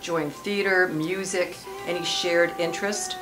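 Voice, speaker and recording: A woman with a low, settled voice speaks as a language teacher in an interview. She is measured and reflective, pausing now and then to think. Clear music plays under her voice. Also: steady tone, low-quality recording. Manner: thoughtful, measured, with frequent "um" pauses. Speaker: ESL teacher. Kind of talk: interview answers.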